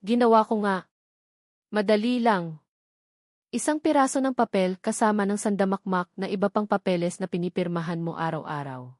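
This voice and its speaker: A woman voicing a haughty, scheming wealthy mother in an audio drama, calm, condescending and unapologetic. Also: mid-range voice, moderate pace, dry recording, studio quality, close to an everyday voice. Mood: smug, defiant.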